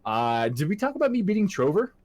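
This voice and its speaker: A man's voice: high-pitched, big pitch swings, fast talker, darkish tone, no echo. Twenty-something guy shooting the breeze on a podcast, swears a bunch, laughs a lot. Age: 20s. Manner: loose and profane, laughs often. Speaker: podcast co-host. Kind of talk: casual chat.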